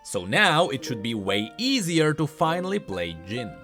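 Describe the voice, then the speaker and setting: A man's voice: a little high, sing-song, measured pace, pushed and bright. A man hosting a gaming news show, reading scripted narration in an emphatic way with stretched, swooping stresses. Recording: music playing behind the voice. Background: background music.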